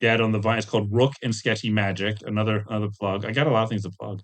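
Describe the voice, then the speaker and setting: A man's voice: on the low side, fairly even intonation, very fast. A man telling rambling, self-deprecating anecdotes with jokey asides as a guest in a casual interview. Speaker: guest, tabletop game designer and webcomic artist.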